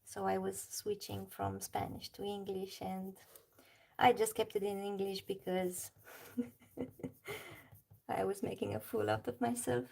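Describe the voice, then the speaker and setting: A woman's voice: mid-range voice, slow, creaky, long pauses, dark tone, slightly rough. A woman from Romania with a Romanian accent, chatting casually on a livestream while she colours and answers her chat. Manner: chatty, reads chat aloud, laughs often.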